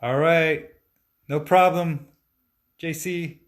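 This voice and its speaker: A man in his forties, a stop-motion animator, answering viewer questions in a relaxed, chatty way with frequent fillers and restarts. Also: fairly high pitch, slow pace. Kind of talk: casual live-stream Q&A, reading viewer comments aloud.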